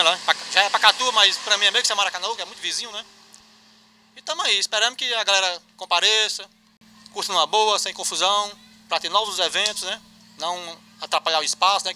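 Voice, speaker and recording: A man in his forties talks easily in a casual interview, his voice very bright and fairly high for a man. He speaks into a handheld mic in a small record shop, with faint background noise. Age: 40s. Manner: relaxed, chatty, frequent tag questions. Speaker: interviewed event promoter. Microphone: handheld mic held by interviewer.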